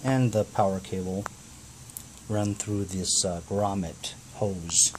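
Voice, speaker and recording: A man hosting a repair vlog, talking casually through hands-on work in a halting, thinking-aloud way with frequent "you know" tags. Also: deep voice, fairly even intonation, background noise. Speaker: host of a DIY repair vlog. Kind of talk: casual hands-on tutorial narration.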